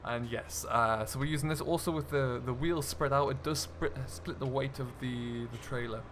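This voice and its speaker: A man's voice: medium pitch, moderate pace, a bit bright, a little hiss or hum. A man giving a chatty, easygoing running commentary to viewers as he plays a farming game. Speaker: host, gaming video creator. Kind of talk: casual let's-play commentary of a farming simulator.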